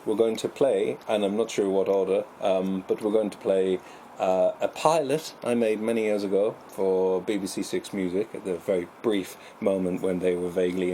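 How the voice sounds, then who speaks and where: A man's voice: low-pitched, dark tone, a little hiss or hum. A man co-hosting a casual radio chat, speaking in a wordy, mock-formal way with dry humour. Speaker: radio show co-host.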